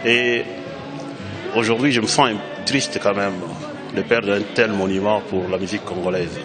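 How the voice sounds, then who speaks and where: A man with a lowish voice speaks in a saddened, reflective way. Music plays clearly behind him. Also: fairly even intonation, normal speed. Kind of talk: interview answer. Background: music playing.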